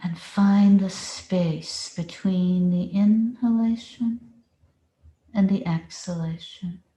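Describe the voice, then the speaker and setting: A woman meditation teacher leading a guided meditation with deliberate phrasing and long pauses. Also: medium pitch, fairly even intonation, mellow. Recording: roomy, echoing space.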